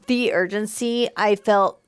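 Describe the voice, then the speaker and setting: A woman's voice: medium pitch, steady tone, unhurried, smooth, no echo, studio quality. Gushing woman reacting to a movie. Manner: emotional, gushing, repeats herself. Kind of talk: casual chat.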